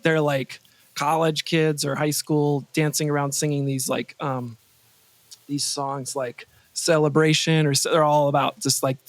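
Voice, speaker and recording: A man chatting casually as an interview guest, enthusiastic and halting as he thinks aloud. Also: a little high. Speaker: podcast guest, composer and sound designer.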